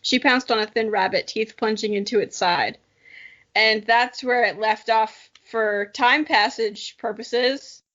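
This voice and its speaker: High-voiced young woman, playful dramatic reader. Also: measured pace, fairly bright tone. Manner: giggly, playful, self-mocking. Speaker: podcast co-host. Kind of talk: casual dramatic reading with chatty commentary. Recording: dull lo-fi audio.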